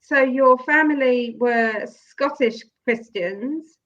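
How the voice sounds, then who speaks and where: A woman hosts an interview in a bright, fairly high voice. She talks slowly and chattily, encouraging her guest and laughing easily. The recording sounds a little dull and lo-fi. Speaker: podcast host. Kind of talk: casual interview.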